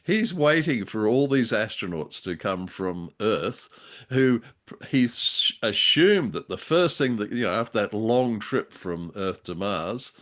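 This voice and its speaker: Older man, deep-pitched voice, wry humour, halting phrasing, casual podcast chat. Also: lively intonation, a bit bright. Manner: hesitant, wry, joking delivery. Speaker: podcast co-host. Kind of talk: casual chat reviewing an old radio drama.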